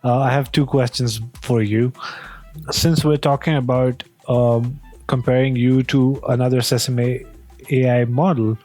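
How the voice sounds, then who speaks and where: A man hosting a casual question-and-answer test, speaking in a hesitant, halting way. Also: medium pitch, fairly even intonation, a little mellow, soft background music. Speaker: host testing an AI voice.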